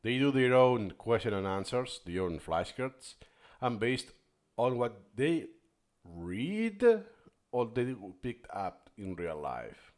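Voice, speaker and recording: Catalan-native guy reacting to a clip, voice bouncing up and down, thinking out loud. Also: mid-range voice, sing-song, takes it slowly. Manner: thinks aloud, digresses, corrects himself mid-sentence. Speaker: video host reviewing footage. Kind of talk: thinking-aloud commentary.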